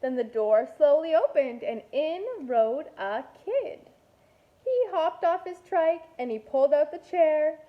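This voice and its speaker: Female kindergarten teacher for picture-book read-aloud: high voice, expressive storytelling, slightly dull home recording. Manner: expressive, deliberate storytelling with playful asides.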